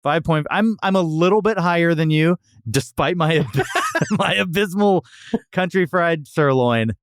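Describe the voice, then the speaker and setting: A man's voice: a little high, unhurried, slight background noise. A man hosting a casual, comedic podcast, trading banter as he reviews restaurants.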